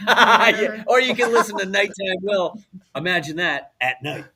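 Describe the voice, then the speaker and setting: A man's voice: sits high, expressive, measured pace, sharp tone. A man who hosts radio, chatting casually and joking as a guest on a show. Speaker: radio morning host appearing as guest.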